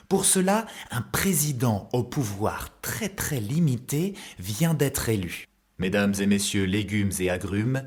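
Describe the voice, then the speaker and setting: A man narrating an animated show for children in a mock-formal storytelling manner. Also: on the low side. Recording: roomy echo, music behind. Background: music.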